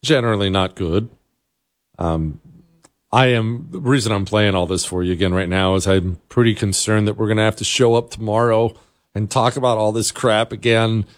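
A man's voice: on the low side, fairly even intonation, moderate pace. A man hosting a political commentary show, delivering an opinionated monologue in a scornful, sarcastic manner. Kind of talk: opinionated monologue commentary over played clips.

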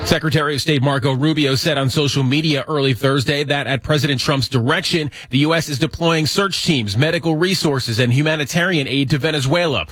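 A man's voice: on the high side, a little fast, few pauses, fairly bright tone, husky, dry recording, studio quality. A man with an American accent reading scripted radio news as an anchor. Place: dry studio.

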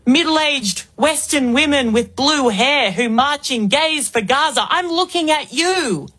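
A woman's voice: sits high, lively intonation, measured pace, fairly bright tone. An Australian woman in her forties, filling in as a talk radio host with an emphatic, rhetorical political monologue. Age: 40s.

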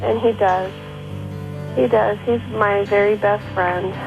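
Caller, woman in her late 20s, earnest and halting, some vocal fry, muffled phone line. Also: mid-range voice, steady tone, over music. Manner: hesitant, earnest, lovesick.

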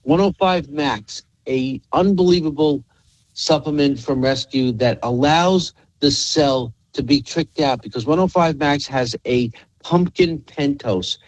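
A man's voice: on the high side, unhurried, dry recording, poor audio. A man hosting a health radio show, explaining in an emphatic way and repeating phrases for effect. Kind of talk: health talk radio with product pitch.

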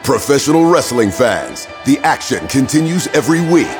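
A male announcer giving a loud, punchy promo read. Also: medium pitch, big pitch swings, normal speed. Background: loud music.

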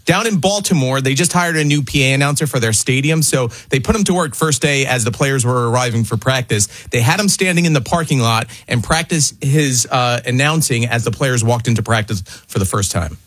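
A man co-hosting a radio show, telling a story in a relaxed, conversational way. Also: medium pitch, a little fast, few pauses, no echo. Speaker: radio co-host presenting segment. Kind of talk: casual storytelling segment.

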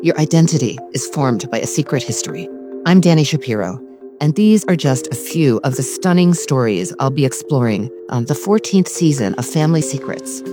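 A woman's voice: a bass voice, animated, moderate pace, music in the background. A woman hosting a podcast, reading its scripted dramatic promo. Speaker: podcast host.

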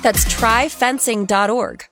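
A woman's voice: a little high, steady tone, takes it slowly, music in the background. A woman reading an upbeat commercial. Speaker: ad announcer.

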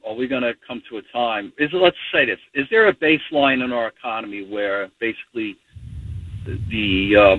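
A distant, lo-fi line carries a man's husky, slightly low voice. He is a caller putting a casual question. He speaks haltingly, feeling around for the right words as he goes. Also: dry recording. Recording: distant, muffled lo-fi radio-like sound.

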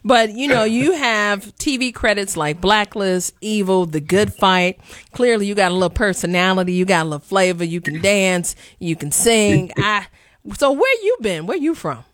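A woman's voice: medium pitch, animated, normal speed, no room sound, clean studio sound. A woman in her late thirties hosting a talk show, chatting playfully in colloquial speech. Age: 30s. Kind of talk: casual interview chat. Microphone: video call.

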